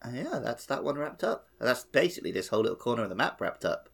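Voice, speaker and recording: A man's voice: mid-range voice, sing-song, fast talker, vocal fry, fairly bright tone, breathy edge. A man co-hosting a podcast, chatting casually about a video game. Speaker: podcast co-host.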